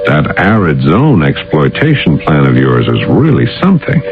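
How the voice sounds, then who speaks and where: Deep male official, gruff and in command, animated delivery, vintage radio drama sound. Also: moderate pace, narrow, tinny audio, full character voice. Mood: confiding. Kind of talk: 1980s radio drama acting. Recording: band-limited old radio broadcast with music.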